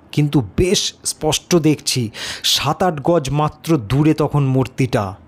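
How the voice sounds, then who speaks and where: Casting: male audiobook storyteller for suspense tales, slightly high-pitched, unhurried and deliberate, dramatic pauses. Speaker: story narrator.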